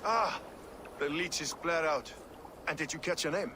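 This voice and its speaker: A man's voice: fairly high pitch, unhurried, noisy background, lightly acted. Sardonic, weary medieval man, played by an actor. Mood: shocked, bitter. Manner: wry, blunt, expressive. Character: rough, sardonic, weary medieval man, foul-mouthed but reasonable. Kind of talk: dramatic video game dialogue.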